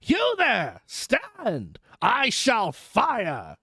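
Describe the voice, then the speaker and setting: A reader doing a gruff, suspicious coach guard. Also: mid-range voice, huge pitch swings, normal speed, dry recording, studio quality. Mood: shouting challenge. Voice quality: gruff, growling. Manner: barking challenges, rustic dialect.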